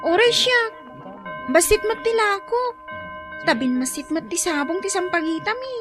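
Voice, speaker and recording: A woman's voice: very high-pitched, animated, normal speed, music in the background. A woman playing a teasing young child flower seller in an Ilocano radio drama, with an Ilocano accent.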